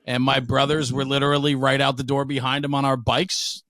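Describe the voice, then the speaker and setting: A man's voice: mid-range voice, flat delivery, brisk, fairly bright tone, dry recording, clean studio sound. A middle-aged man telling stories casually as an interview guest, frank, emphatic and opinionated. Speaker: podcast guest, veteran firefighter and speaker.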